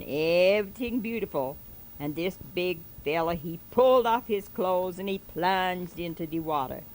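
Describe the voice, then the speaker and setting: A woman's voice: on the low side, low-quality recording. A fervent old-time male preacher drawls through a parable in heavy dialect, as a woman puts him on. He exhorts his flock and keeps circling back with repetitive asides, in the manner of a comic sermon recitation.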